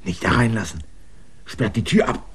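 An actor in a German radio drama playing a stubborn, earnest land surveyor, an outsider in a village. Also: male voice, lowish voice, normal speed, heavy background noise, natural voice. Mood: brooding. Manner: earnest, insistent, rising to agitation. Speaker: lead character.

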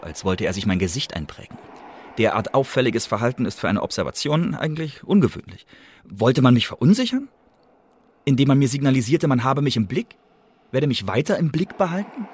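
An actor playing a wary, brooding male secret agent in a radio drama, telling his story in a confiding, dramatic way with a husky, breathy voice. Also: lowish voice, a little hiss or hum, natural voice. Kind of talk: German radio drama monologue, intimate storytelling.

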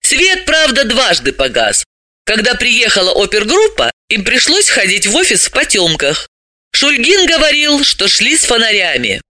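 Here is a brisk, self-assured woman sleuth from an audiobook, acted out by a man. The voice is pitched high and sounds very bright, swinging widely as it explains with businesslike emphasis and a mocking edge. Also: huge pitch swings, no echo, full character voice. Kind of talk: audiobook character voice.

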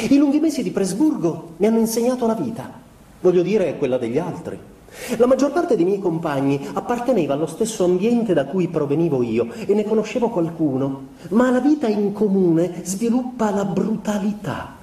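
Mellow, confessional actor as introspective man, distant. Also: high-pitched, very animated, normal speed. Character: introspective, tormented man confessing his troubled youth. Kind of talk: theatrical literary monologue. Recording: roomy, distant, faint background noise.

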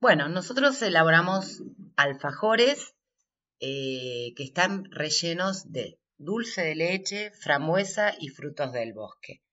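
A woman's voice: fairly low pitch, very animated, slow, sharp tone, dull audio. A woman with an Argentine accent answering interview questions as a workshop coordinator, explaining things step by step.

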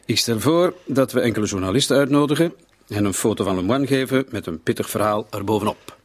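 An actor playing a shrewd, authoritative police commissioner in a radio drama, deliberate and commanding. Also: male voice, mid-range voice, husky, lightly acted. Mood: measured, probing. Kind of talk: radio drama acting in dialogue.

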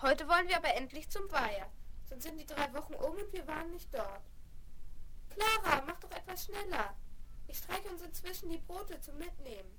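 A woman playing an eager, bossy little Alpine girl in a 1970s German children's radio play, excited and urging. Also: extremely high pitch, normal speed, over a noisy crowd. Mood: eager, impatient. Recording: very noisy 1970s vinyl rip with clicks.

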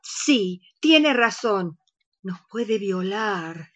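A woman's voice: mid-range voice, animated, extremely slow, a bit bright, dull audio, heavily acted. A woman playing a giddy, fussy older lady in a comic radio play, squealing and exclamatory, with a Rioplatense Argentine accent. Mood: puzzled, fussy.

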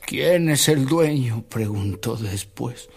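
A man recounting anecdotes in a radio drama. He takes his time, shaping each phrase theatrically with wide swings of pitch. His voice has some gravel in it, and soft music runs underneath. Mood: reverent storytelling. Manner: unhurried, theatrical, expressive.

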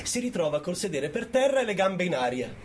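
A man's voice: high-pitched, big pitch swings, fairly quick, a little hiss or hum. A man performing a sarcastic, mock-indignant storyteller in a theatrical radio monologue, hesitating and chuckling through his points.

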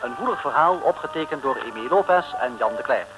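A man's voice: medium pitch, expressive, fast. A man announcing in the formal, scripted style of old radio credits. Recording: dull old radio recording. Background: loud music.

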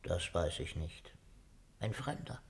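An actor playing a cruel, bitter little companion to an emperor in a radio play, mocking and theatrical. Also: male voice, sits low, animated, slow, vocal fry, slight background noise, exaggerated voice. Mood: furtive. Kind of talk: 1950s German radio play acting.

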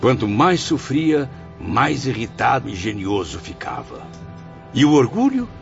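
An actor with a Brazilian accent playing a proud, embittered blind writer's spirit in a radio soap, declaiming theatrically. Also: male voice, mid-range voice, big pitch swings, measured pace, gravelly. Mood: bitter, remorseful. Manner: theatrical, declamatory, grandiose, with swelling and sinking emphasis. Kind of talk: radio soap opera acting. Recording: dull lo-fi audio, music behind.

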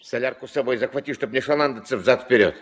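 An actor playing a gruff, terse old riverboat skipper and grandfather in a 1970s Soviet radio drama, giving orders in colloquial speech. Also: quick pace, close to an everyday voice. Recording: noisy old mono radio play, engine rumble.

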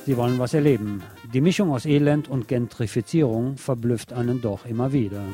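Male late-night radio presenter, mid-range voice, reading literary monologue deliberately and poetically, with strong music bed. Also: medium pitch. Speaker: radio host. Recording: music playing under the voice.

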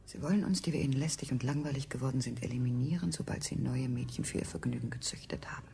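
A woman's voice: rumbling low, fast talker, creaky, warm tone, a little hiss or hum. An actress playing a bitter enslaved woman who plots against her masters, in a declamatory, conspiratorial 1970s radio play style. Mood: defiant. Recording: 1970s studio radio recording.

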